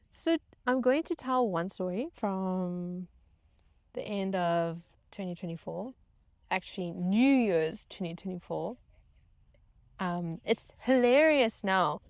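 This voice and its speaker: A woman vlogger telling personal stories casually, in a halting, chatty way with wry exaggeration. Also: medium pitch, no room sound. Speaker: vlog host telling stories.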